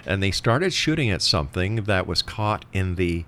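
A man's voice: sits low, expressive, normal speed, a bit bright. A man hosting an interview show, talking conversationally and making his points with emphasis.

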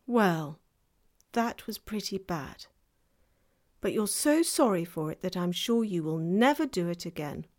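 A woman's voice: lowish voice, animated, a little slow. A woman voicing a kind, innocent little girl who speaks earnestly and anxiously in a children's storybook reading. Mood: gently reassuring. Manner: earnest, wide-eyed, pleading.

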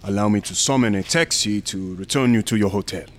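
An actor in a radio drama playing a courteous, solemn assistant at a sinister museum, formal, deferential and unhurried. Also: male voice, on the low side, lightly acted. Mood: politely firm.

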